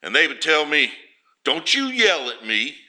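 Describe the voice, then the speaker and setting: A male preacher speaks in a plain, homespun way and leans on everyday examples. His voice is naturally loud and very bright, almost cutting, and it climbs in pitch when he drives a point home. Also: sing-song, unhurried. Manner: homespun, storytelling, rises loud for emphasis. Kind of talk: folksy sermon.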